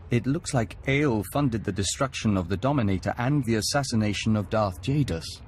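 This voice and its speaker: An actor playing a courteous, measured hive member who speaks as a collective in a video game. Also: male voice, on the low side, a little hiss or hum, close to an everyday voice. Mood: serene, polite. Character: calm courteous insectoid hive-joiner spokesman, man. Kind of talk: scripted video game acting.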